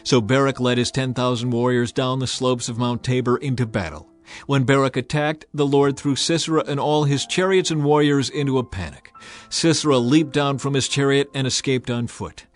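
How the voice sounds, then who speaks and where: Man, mid-pitched voice, solemn measured audio Bible narration, music underneath. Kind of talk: scripted scripture narration.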